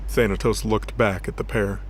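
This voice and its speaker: A man's voice: fairly low pitch, fairly even intonation, normal speed, slight background noise. A man narrating a scripted dramatic story as the storyteller of an audio drama. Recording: voice over background music.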